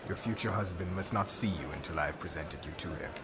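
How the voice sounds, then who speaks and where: A man playing a solemn, diplomatic king in an ASMR roleplay, speaking in a formal, measured, oratorical way. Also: low voice, over loud music, close to an everyday voice. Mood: gentle, apologetic. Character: solemn diplomatic young king addressing subjects and friend.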